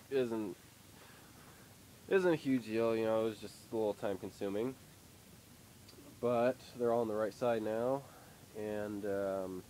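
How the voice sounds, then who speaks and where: A man's voice: deep voice, a little mellow, a little hiss or hum, low-quality recording. A young man with a nasal voice from a head cold, giving a rambling, hesitant update on his car project from a dim workshop. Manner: rambling, hesitant, lots of fillers. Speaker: car hobbyist vlogger. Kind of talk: casual garage update. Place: workshop with poor lighting.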